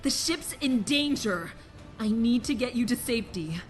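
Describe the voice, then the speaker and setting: A woman's voice: fairly high pitch, expressive, normal speed, faint music behind, natural voice. Blunt, protective young woman played by an actress. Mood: urgent protectiveness. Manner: urgent, blunt. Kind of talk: animated sci-fi parody voice acting.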